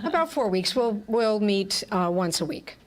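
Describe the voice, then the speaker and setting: A woman's voice: medium pitch, expressive, normal speed. Woman, theater leader type, mid-range voice, conversational explainer in an interview setting. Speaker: theater company leader and performer.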